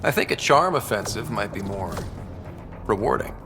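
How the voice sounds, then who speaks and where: A man playing a laid-back, teasing young hero in casual video game dialogue, with a creaky voice. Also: on the low side, expressive, moderate pace, over music.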